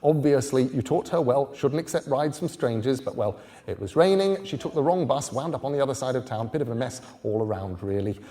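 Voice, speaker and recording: A man voicing an amiable, well-spoken English gentleman in an audiobook, politely rambling and hesitant. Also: mid-range voice, animated, brisk, lightly acted. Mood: flustered, apologetic. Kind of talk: audiobook character dialogue.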